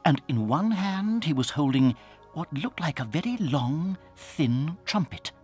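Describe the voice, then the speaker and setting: A man with a British accent narrating a dramatized children's story in a suspenseful, theatrical way that can drop toward a hush. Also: a little high, big pitch swings, measured pace, soft background music. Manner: dramatic, suspenseful, hushing to near whispers.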